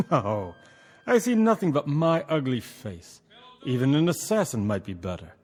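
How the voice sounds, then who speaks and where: An actor playing a weary, good-humoured, self-deprecating rebel soldier in a mid-century television drama. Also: male voice, mid-range voice, sing-song, unhurried, darkish tone, faint music behind. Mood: wry.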